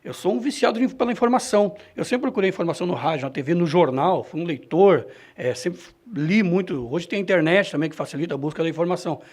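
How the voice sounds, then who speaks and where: A man answering interview questions about his own experience, in a halting, self-correcting way. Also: high-pitched, a little mellow. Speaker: radio reporter and presenter being interviewed. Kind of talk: reflective interview answer, personal anecdotes.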